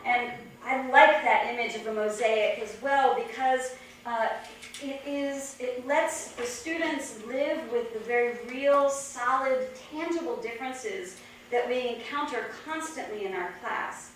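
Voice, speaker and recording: A woman presents at an academic conference in an earnest, reflective voice, stepping through her points like a list. Her pitch is a touch high. She sounds far from the mic in a very echoey hall with faint noise. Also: a little slow, a bit bright. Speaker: conference speaker. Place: large echoey lecture hall.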